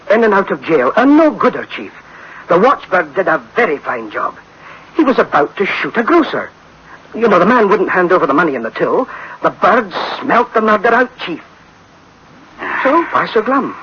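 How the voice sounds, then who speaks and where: A man playing an upbeat, reassuring subordinate briefing his boss in a radio drama, brisk and colloquial, on a muffled old recording. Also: sits high, sing-song, a little hiss or hum. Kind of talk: 1960s-style radio drama acting.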